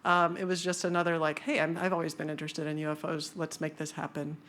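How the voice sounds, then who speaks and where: A woman's voice: flat delivery, fast talker, a bit bright. A woman speaking casually and with humor as a speaker at a scientific conference, with many fillers. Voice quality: high-pitched voice.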